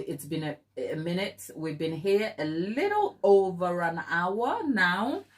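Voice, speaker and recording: A woman with a Ghanaian accent hosting a cake decorating livestream, chatting casually with her viewers and calling them "my people". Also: on the low side. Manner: chatty, addresses viewers as "my people", mixes in Twi.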